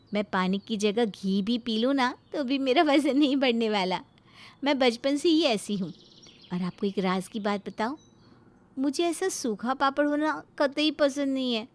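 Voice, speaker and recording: A woman's voice: a little high, natural voice. A woman voicing a cheerful, candid young sister-in-law who teases affectionately in a moral story.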